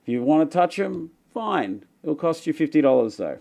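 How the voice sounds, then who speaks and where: A young Australian man hosting a casual live Q&A, joking about himself and rambling with lots of fillers, his voice worn raspy from little sleep. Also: on the high side, very animated, normal speed, warm tone. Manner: self-deprecating, joking, rambling with many fillers. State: voice going raspy, tired. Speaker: livestream host answering chat questions.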